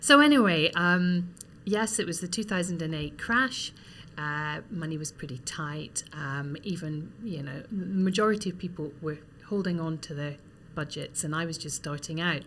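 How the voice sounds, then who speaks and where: Scottish woman, forties, lower voice, easygoing interview talk, faint hum behind. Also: normal speed, a bit bright. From Scotland. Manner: chatty, many ums and restarts. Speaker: guest. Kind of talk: interview, relaxed storytelling.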